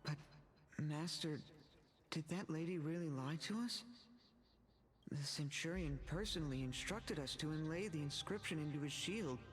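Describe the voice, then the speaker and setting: A man's voice: fairly high pitch, moderate pace, natural voice. An actor playing a young, earnest, deferential male apprentice in a video game. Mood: worried. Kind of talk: scripted video game dialogue.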